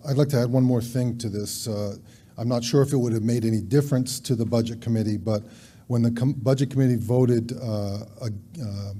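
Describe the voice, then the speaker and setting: A man reading a town meeting warrant article aloud in a measured, formal way, with a quiet voice. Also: mid-range voice, steady tone. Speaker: town selectman.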